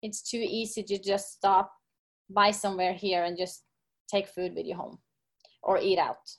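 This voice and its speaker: A woman's voice: mid-range voice, fairly even intonation, a little slow. Norwegian-accented woman, interview guest. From Norway. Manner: searching for English words with fillers. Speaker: podcast guest, gym owner and coach. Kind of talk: casual interview.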